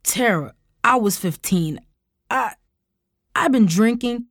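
A woman's voice: on the high side, lively intonation, takes it slowly, no echo, clean studio sound. A woman voicing a guilty, evasive uncle who stammers and pleads defensively in an audiobook story. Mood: nervous pleading.

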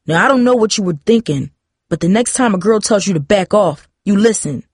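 A woman voicing a stern, protective, angry father in an audiobook story, scolding forcefully. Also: on the low side, fast, no echo, clean studio sound, close to an everyday voice. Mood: furious, threatening. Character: furious, protective father confronting daughter and boy.

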